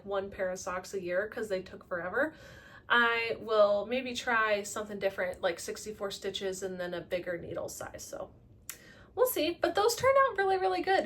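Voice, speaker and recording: Woman, late twenties, casual crafting vlog, chatty, self-deprecating, slightly high voice, distant mic. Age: late 20s. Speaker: crafting vlogger. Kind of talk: casual monthly project update.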